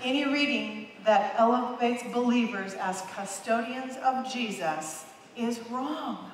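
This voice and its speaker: Brief: woman pastor in her fifties, high voice, emphatic sermon, long hall reverb, heard off-mic. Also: slow, a little hiss or hum. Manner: emphatic, repeats phrases for emphasis, gestures widely. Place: large dark church stage. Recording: echoey hall, heard from a distance.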